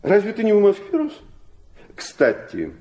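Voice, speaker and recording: A man's voice: high voice, wildly swooping pitch, measured pace, warm tone, exaggerated voice. An actor playing an urbane, aloof, middle-aged aristocratic father in an audio drama, mocking and capricious. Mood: ironic, condescending. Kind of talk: theatrical audio drama acting.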